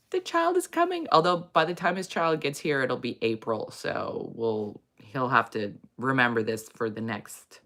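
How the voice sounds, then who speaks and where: A woman hosting a casual chat, rambling with many fillers, in a low morning voice. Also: vocal fry, fairly bright tone. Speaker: host. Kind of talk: casual chat reading viewer comments.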